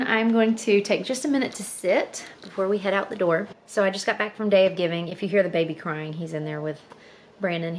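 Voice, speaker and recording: A woman in her thirties chatting casually to the camera for her daily vlog, her voice a bit low and congested, rambling with fillers. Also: slight background noise. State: slightly husky, congested voice. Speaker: vlogger.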